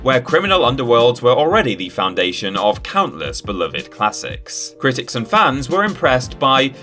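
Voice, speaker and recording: A man's voice: mid-range voice, big pitch swings, normal speed, bright, forceful tone. A man presenting a scripted countdown list, with wry conversational asides. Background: background music.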